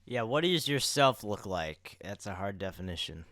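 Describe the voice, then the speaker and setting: A man's voice: on the low side, expressive, moderate pace, a bit bright, no room sound. A man co-hosting a podcast in informal, playful banter, speaking close to the microphone. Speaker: podcast co-host.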